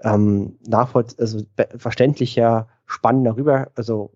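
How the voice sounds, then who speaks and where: A man's voice: medium pitch, fairly even intonation, normal speed, mellow, no echo, dull audio. A man co-hosting a history podcast, searching for words and adding asides to his partner. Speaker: podcast co-host. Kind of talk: casual history podcast chat.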